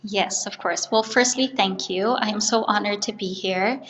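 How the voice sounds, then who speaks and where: A woman talks in a chatty, upbeat way as a guest on a live show. Her voice sits at a middling pitch. It carries a long, lingering reverb, as if heard in a big hall. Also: normal speed, fairly bright tone. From Brazil. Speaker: guest, Pilates instructor. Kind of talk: casual interview chat.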